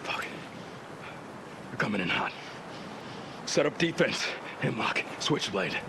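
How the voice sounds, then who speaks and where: Gruff squad leader, clipped orders, cursing, mid-pitched, video game. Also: male voice, sing-song, slow pace, background noise, heavily acted. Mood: firm. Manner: clipped commands, swears. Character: hard-bitten male squad leader. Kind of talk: video game cutscene acting. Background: game music.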